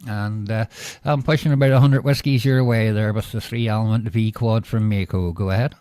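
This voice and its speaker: A Northern Irish man talks over a ham radio link with a mellow, dark tone. His voice is close and dry, without room echo. He speaks in a measured way, with lots of "uh" and "indeed there". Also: lowish voice, fairly even intonation, smooth. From Northern Ireland. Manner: measured, filler-heavy, signs off with "go ahead". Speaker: radio operator. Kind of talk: casual radio chat.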